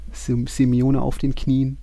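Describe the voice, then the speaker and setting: A man's voice: mid-range voice, mellow, no echo. A man co-hosting a football podcast, talking casually and commenting on play as it unfolds.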